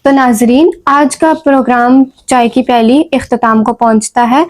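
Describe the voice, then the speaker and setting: A woman's voice: fairly high pitch, fairly even intonation, a little mellow. A woman hosting a literary programme in a courteous, formal manner. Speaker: talk show host. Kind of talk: polite literary programme presenting.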